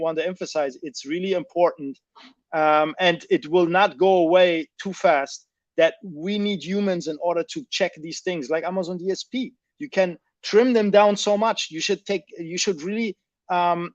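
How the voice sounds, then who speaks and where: A man with a high voice, recorded dry and close with a dull, lo-fi tone. He talks through business points emphatically, circling back and rephrasing as he goes. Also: no echo. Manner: emphatic, repeats and rephrases himself. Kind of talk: explanatory business discussion.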